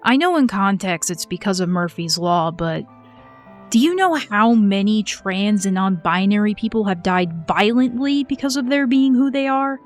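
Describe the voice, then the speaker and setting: A woman narrating a scripted video essay in an earnest, measured way. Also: medium pitch, lively intonation, soft background music.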